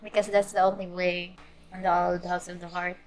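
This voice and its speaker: A woman's voice: lowish voice, little pitch movement, normal speed, darkish tone. A woman game streamer reacting casually and live as she plays.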